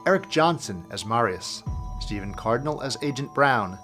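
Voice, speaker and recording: A man's voice: mid-range voice, lively intonation, moderate pace, a bit bright. A man reading scripted closing credits as an announcer. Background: music.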